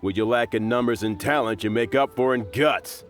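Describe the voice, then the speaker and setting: A man's voice: mid-range voice, normal speed, faint music behind, natural voice. A man voicing a cocky, sneering thug in a video game, mocking and taunting as he bullies.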